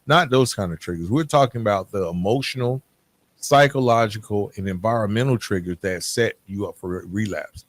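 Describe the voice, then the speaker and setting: A man's voice: lowish voice, a little fast, no echo. Candid man hosting a solo podcast. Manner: candid, informal, punctuates points with "right?". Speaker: podcast host. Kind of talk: solo podcast talk on recovery, half-scripted and conversational.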